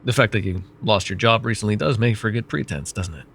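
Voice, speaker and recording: A man voicing a vain, wry, middle-aged male mage who counsels a student in an ASMR roleplay, speaking in a calm, measured and gently teasing way. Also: fairly low pitch, vocal fry, full character voice. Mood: calm reassuring. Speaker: voice actor.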